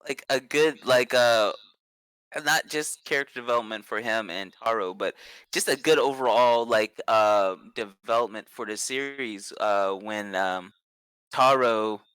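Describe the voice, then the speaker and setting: A man's voice: on the low side, a little slow, fairly bright tone, clear, resonant tone, no room sound, poor audio. A young man co-hosting a casual anime review podcast, enthusiastic and rambling, with lots of fillers. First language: not Japanese; speaks English. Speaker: podcast co-host.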